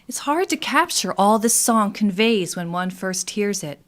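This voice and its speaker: A woman's voice: medium pitch, expressive, unhurried. A woman reading scripted narration as a presenter for a radio theatre troupe.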